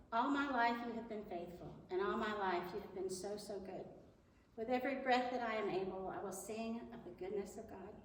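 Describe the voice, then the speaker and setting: A woman's voice: fairly high pitch, a little hiss or hum. Woman w a big voice giving a church talk, earnest and kinda nervous, echoey hall, mic far off. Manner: earnest, chatty, self-deprecating, nervous. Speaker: guest speaker at church. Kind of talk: Mother's Day church talk. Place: church sanctuary.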